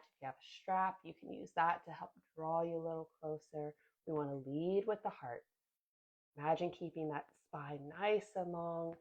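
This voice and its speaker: Gentle, sniffly woman guiding yoga. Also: deep voice, highs cut off. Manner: gentle, calm cueing with soft pauses. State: sniffly from a sinus infection. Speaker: yoga instructor. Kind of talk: guided yoga class instruction.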